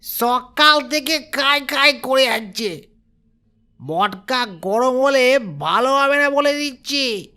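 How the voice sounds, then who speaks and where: A woman's voice: high voice, expressive, takes it slowly, exaggerated voice. A woman playing an irritated scolding housewife. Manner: sharp, threatening scolding.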